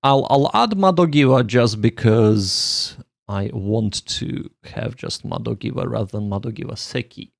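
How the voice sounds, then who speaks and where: A man's voice: medium pitch, unhurried, gravelly, no echo, clean studio sound. A man hosting a casual study livestream, thinking aloud in a joking, self-deprecating way. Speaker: livestream host, language learner.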